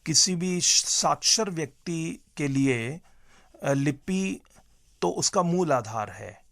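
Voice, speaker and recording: A man with a creaky, gravelly voice answers interview questions in a measured, explanatory way. He pauses to find his words, and the sound is close and dry. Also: on the high side, no echo. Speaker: teacher and teacher trainer, interview guest.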